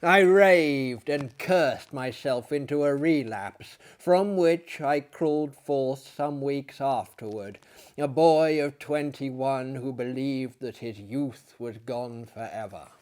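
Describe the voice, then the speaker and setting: A man voicing a grieving young narrator in a dramatic, measured, mournful storybook reading. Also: medium pitch, darkish tone, natural voice. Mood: anguished.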